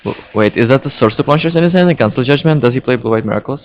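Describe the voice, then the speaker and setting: A man with a Czech accent casually commentating live on a card game, haltingly and often repeating his words. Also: medium pitch, expressive. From Czech Republic. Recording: dull, lo-fi stream audio.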